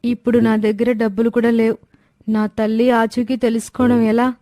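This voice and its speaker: A woman's voice: on the high side, level tone, normal speed, mellow, dry recording, lightly acted. A woman voicing an earnest, plaintive young daughter searching for her mother in a moral story. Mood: yearning, determined. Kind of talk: Telugu moral story character acting.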